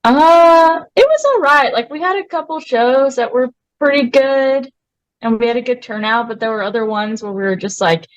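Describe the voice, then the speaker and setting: A woman's voice: high voice, expressive, normal speed, no room sound, rough recording. Woman band guest, laid-back, laughs a lot, dry close sound. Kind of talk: casual interview chat.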